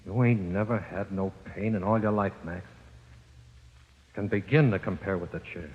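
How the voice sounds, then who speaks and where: An actor playing the eerie, taunting ghost of an executed convict with slangy speech, in 1940s radio style. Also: male voice, sits low, lightly acted. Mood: pained, grim. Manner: slow, uneducated slangy speech. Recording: echoing, muffled old radio recording.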